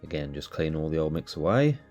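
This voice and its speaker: Very deep-voiced nasal man narrating. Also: brisk, soft background music. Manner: relaxed, explanatory. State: nasal from allergies. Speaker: cactus channel host. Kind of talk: casual voice-over narration.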